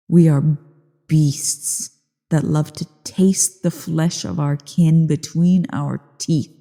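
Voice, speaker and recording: A woman voicing an ancient evil female dragon in a slow ASMR roleplay monologue, haughty, bored and menacing, with a low, husky, creaky delivery. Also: warm tone, heavily acted. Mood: cold, menacing. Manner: haughty, disdainful, deliberate. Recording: long cavernous reverb.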